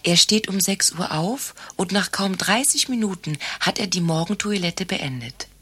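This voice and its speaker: An actress playing a naive, dreamy young country woman with a breathy voice in a satirical radio play. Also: fairly low pitch, expressive, moderate pace, bright, forceful tone, heavily acted. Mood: earnest, wistful.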